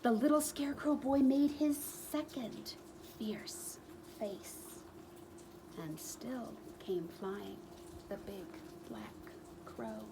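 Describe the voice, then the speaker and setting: A woman reading a children's book aloud as a storyteller, expressively, with dramatic pauses and emphatic repetition. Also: fairly high pitch, heavy background noise.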